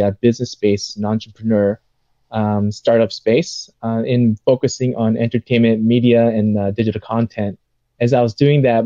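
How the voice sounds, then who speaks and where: A man with an American accent speaking haltingly and conversationally as an interview guest. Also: medium pitch, dark tone, no echo. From United States. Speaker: interview guest, company executive. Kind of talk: conversational self-introduction. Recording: dry, dull lo-fi audio.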